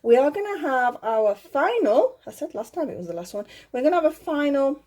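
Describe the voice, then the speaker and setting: A woman giving casual step-by-step craft instruction in a slightly gruff voice, chatty and reassuring, often correcting herself as she goes. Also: sing-song, brisk, a little mellow. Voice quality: slightly gruff, lower than her usual voice. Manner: chatty, self-correcting, reassuring toward beginners. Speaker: crochet tutorial host.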